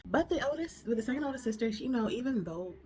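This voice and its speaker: A woman's voice: on the high side, expressive, fast, mellow, slight background noise. A young woman with a nasal, stuffy voice from a cold, chattily recapping a TV drama with lots of fillers and restarts. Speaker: reaction video host. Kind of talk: casual drama recap and review.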